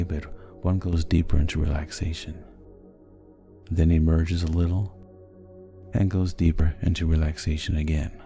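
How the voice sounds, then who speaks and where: Male sleep hypnosis narrator, very low and gravelly, soothing and measured, over faint music. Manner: soothing, gentle, measured delivery. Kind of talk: sleep hypnosis guided meditation, scripted narration.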